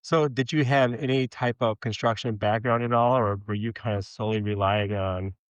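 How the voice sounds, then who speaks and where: A man's voice: medium pitch, steady tone, moderate pace, clear, resonant tone, no room sound, dull audio. A man, hosting a podcast interview with friendly, conversational questions. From Minnesota. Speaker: podcast host.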